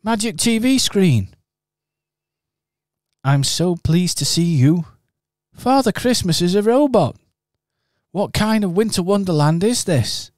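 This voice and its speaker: A gentle, well-mannered teddy bear from a radio pantomime, put on by a woman. He speaks with a lilting, lively voice and a mildly wry politeness. The sound is dry and close. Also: on the low side, takes it slowly, long pauses, no room sound. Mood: puzzled.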